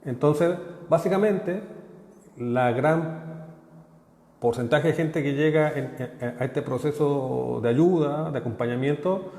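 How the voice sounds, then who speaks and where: A man's voice: a little high, warm tone. Chilean man talking in an echoey room. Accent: Chilean, partly Mexican. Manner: halting, repeats words, tags phrases with "¿no?" and "¿ok?". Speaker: prosperity consultant giving a talk. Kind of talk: live online workshop talk. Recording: echoey room with long reverb.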